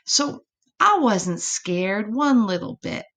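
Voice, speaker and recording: A woman's voice: mid-range voice, animated, slow, fairly bright tone. A woman voicing a plucky, boastful little boy possum for a children's storybook, in eager, breathless exclamations. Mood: boastful, excited.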